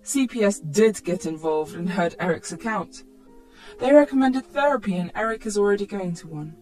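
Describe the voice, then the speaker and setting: Storytime narrator: woman, mid-pitched, scripted first-person dramatic read over light music. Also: lively intonation, normal speed, darkish tone.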